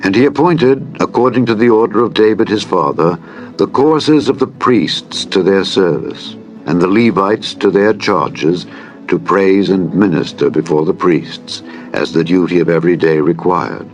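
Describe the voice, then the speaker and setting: A man narrating scripture in a solemn, measured, formal manner, as a dramatized Bible reading. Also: deep voice. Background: background music.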